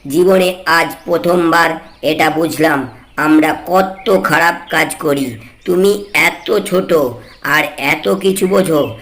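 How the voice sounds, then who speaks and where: Cartoon kite bird, bandit boss, woman acting, deep female pitch, slow delivery, blunt, commanding. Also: fairly bright tone, heavily acted. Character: gruff bandit kite bird, scolding, later remorseful. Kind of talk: cartoon story dialogue.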